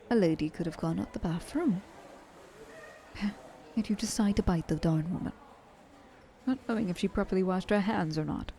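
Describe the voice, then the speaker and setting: A woman plays an ancient, very rich vampire lady in an ASMR roleplay. Her voice is soft and mellow, with genteel, haughty courtesy. She speaks straight to the listener over some background noise. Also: lowish voice, natural voice. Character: thousand-year-old wealthy female vampire, haughty yet courteous.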